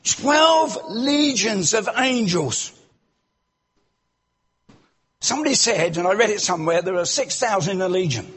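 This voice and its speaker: A male preacher delivering a sermon in a church, his voice unusually high and very husky. He swings widely in pitch, rising into loud exclamations and dropping low for rhetorical questions to the congregation. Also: lively intonation, normal speed.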